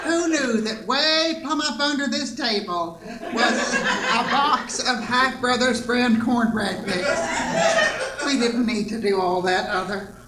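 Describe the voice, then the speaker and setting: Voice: medium pitch, slow pace, natural voice. A performer playing a folksy, homely grandma who gives a rambling, repetitive cooking demonstration in a comic old-time stage show. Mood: homespun, rambling. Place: live theatre stage. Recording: echoey, very noisy, distant stage pickup.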